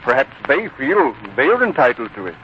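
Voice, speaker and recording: A man's voice: medium pitch, very animated, a little fast, close to an everyday voice. An actor playing a modest, hesitant man at a biblical feast, deferential, in 1940s radio style. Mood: hesitant, surprised. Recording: muffled, noisy 1940s radio broadcast.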